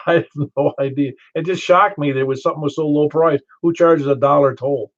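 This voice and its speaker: A man's voice: a little high, a little fast. Older Southern man chatting on ham radio. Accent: Southern American. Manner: chatty, storytelling, laughs easily. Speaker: ham radio net control. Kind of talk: casual radio chat. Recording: muffled ham radio audio.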